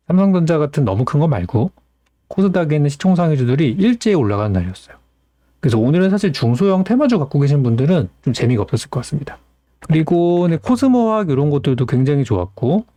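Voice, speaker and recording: A man's voice: medium pitch, warm tone, no echo. A man hosting as a stock market analyst, explaining things in an informal, conversational commentary style.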